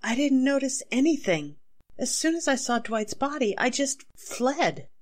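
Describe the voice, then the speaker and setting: A formal, proud widow from a 1940s radio mystery, acted by a woman. Her voice is slightly high as she speaks like a lady wrongly suspected. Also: big pitch swings, a little slow, heavily acted. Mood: aggrieved, composed. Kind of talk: 1940s radio drama acting.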